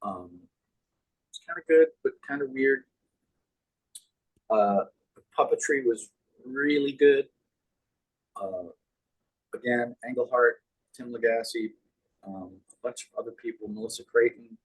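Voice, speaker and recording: A man with a deep voice, chatting casually as an interview guest and reminiscing in an animated storytelling way. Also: measured pace, long pauses, low-quality recording. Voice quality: deep, husky. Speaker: guest, puppeteer.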